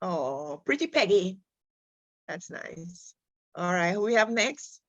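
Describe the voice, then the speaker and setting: A woman's voice: fairly low pitch, expressive, slow, highs cut off. A middle-aged woman, hosting an online youth group and praising each speaker by name. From Dominica. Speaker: host of a youth group session.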